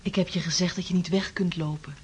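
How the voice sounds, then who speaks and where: A woman's voice: lowish voice, steady tone, fast talker, darkish tone, a little hiss or hum, lightly acted. An actress playing a ghostly, insistent woman in a radio drama, soothing and coaxing, often whispering.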